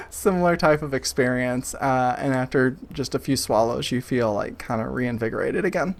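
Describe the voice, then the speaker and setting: A man narrating a tabletop game as game master, in a halting, informal way, piecing the scene together as he talks. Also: mid-range voice, vocal fry.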